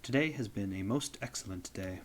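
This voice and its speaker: A young man streaming, reading a visual novel aloud in a steady, even way. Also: fairly low pitch, brisk, a little hiss or hum.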